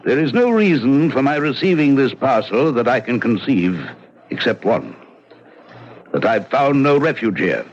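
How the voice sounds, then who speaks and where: An actor playing a grave older nobleman hunter who fears betrayal, speaking measured and solemn. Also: medium pitch, big pitch swings. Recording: muffled old radio broadcast.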